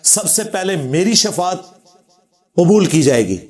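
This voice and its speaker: A man lecturing on religion in a notably high-pitched voice with a Pakistani Punjabi accent. He argues his rebuttal informally and sardonically, moving between commentary and quoted scripture. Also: big pitch swings, slow, a little mellow. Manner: argumentative, sardonic, quoting scripture.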